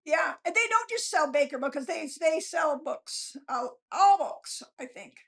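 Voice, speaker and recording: A woman's voice: sits high, expressive, a little slow, vocal fry, fairly bright tone. A woman chatting casually as an interview guest, joking and self-deprecating in manner. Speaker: guest novelist. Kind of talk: casual chat.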